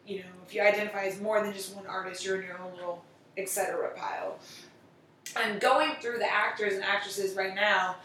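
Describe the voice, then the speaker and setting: A woman's voice: mid-range voice, fairly even intonation, fairly quick, a bit bright, slight background noise. A woman in casual chat, talking freely, laughing and swearing often. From United States. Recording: echoey room from far off.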